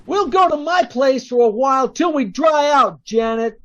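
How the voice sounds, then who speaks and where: A woman's voice: high-pitched, expressive, normal speed, lo-fi sound, a little hiss or hum. A woman playing a tough, cocky small-time crook in an old-time radio drama, with slangy, bossy delivery. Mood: relieved, brisk.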